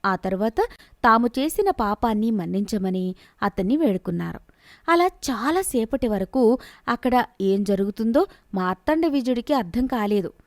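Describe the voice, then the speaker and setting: A woman narrating a scripted bedtime fantasy story in a steady, expressive storytelling manner. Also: fairly high pitch, no echo, studio quality.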